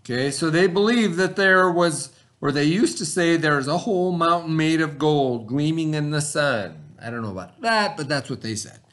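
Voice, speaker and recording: A man teaching through a read-aloud, pausing to explain things and put questions to his listeners. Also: a little high, expressive, fairly bright tone.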